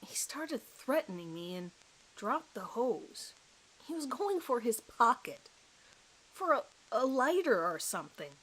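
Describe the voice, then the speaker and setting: A woman voicing a bewildered, frightened male suspect who speaks haltingly in an audio horror drama. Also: on the high side, big pitch swings, fairly bright tone, exaggerated voice, low-quality recording. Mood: shaken recounting. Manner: halting, stammering. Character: panicked, bewildered male suspect pleading innocence under questioning.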